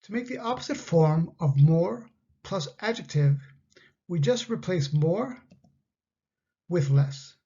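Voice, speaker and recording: A man from Canada with a Canadian English accent, teaching an English grammar lesson in slow, carefully spoken example sentences. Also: high-pitched, lively intonation, dark tone, husky, dull audio.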